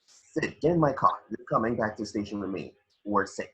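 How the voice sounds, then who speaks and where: A man's voice: fairly low pitch, lively intonation, fairly quick, slightly rough. A man playing an urgent, protective police detective in a horror script read at home. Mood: urgent, concerned.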